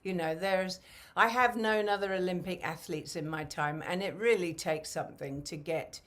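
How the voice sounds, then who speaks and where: A woman hosting a podcast interview, talking in a rambling, excitable way full of fillers. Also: lowish voice, normal speed. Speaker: podcast host.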